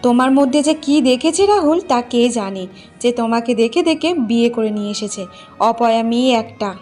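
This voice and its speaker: A woman's voice: on the high side, moderate pace, a little mellow, faint music behind, natural voice. A woman playing a spiteful sister-in-law in a village cartoon, scolding in a taunting, sarcastic way. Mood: sarcastic, scornful. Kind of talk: Bengali moral cartoon voice acting.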